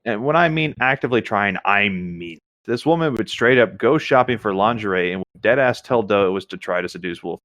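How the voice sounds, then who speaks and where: A man's voice: lowish voice, animated, brisk, hardly any pauses, fairly bright tone, no room sound, clean studio sound. A man co-hosting, reading a story aloud and adding casual commentary.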